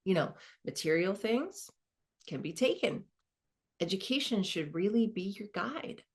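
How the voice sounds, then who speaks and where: A woman's voice: medium pitch, lively intonation, unhurried. A woman playing a reflective, wry lawyer in a conversational stage monologue about her own life. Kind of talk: dramatized first-person monologue, conversational.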